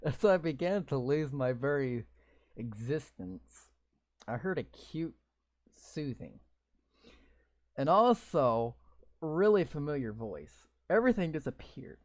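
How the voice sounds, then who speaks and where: A man reading a game's story text aloud in a slow, deliberate way, breaking off for blunt, sweary, sarcastic asides. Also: fairly high pitch, big pitch swings. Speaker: gamer reading a visual novel aloud. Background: game music.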